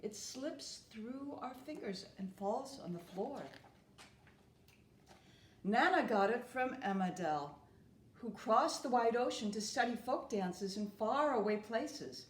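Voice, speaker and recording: A woman storyteller reads a children's book aloud in a fairly high voice. Her phrasing is very slow, deliberate and expressive, and the room around her is clearly echoey. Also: slight background noise. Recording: roomy, echoing room.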